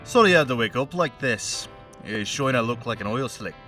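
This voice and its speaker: A man playing a mocking, menacing crime henchman with a put-on Scottish accent in an audio play monologue, chatty and sardonic with threatening pauses. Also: medium pitch, animated, pushed and bright, distant mic, soft background music. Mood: mocking menace. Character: menacing, mocking male crime henchman taunting a bound captive.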